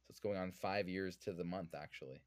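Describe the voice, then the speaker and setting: A man's voice: deep voice, a little fast, dry recording. A man from Vancouver with a Canadian accent, answering interview questions conversationally as a podcast guest. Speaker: podcast guest, whiskey influencer.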